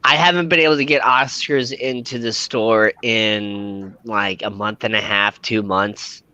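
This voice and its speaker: A man co-hosting a casual livestream, explaining fish problems in a relaxed way with frequent fillers. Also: fairly low pitch, measured pace, no room sound, rough recording. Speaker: fish store worker and co-host.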